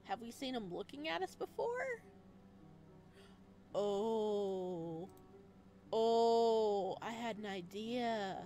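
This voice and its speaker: A woman doing a let's play, reading story text aloud and adding expressive, chatty reactions in a casual style. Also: fairly high pitch. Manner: expressive, chatty asides between read passages.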